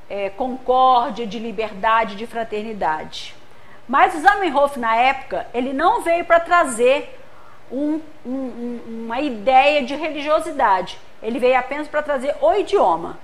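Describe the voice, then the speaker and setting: A Brazilian woman giving a lecture at a spiritist center, explaining patiently with homely examples and often checking in with the audience. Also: high voice.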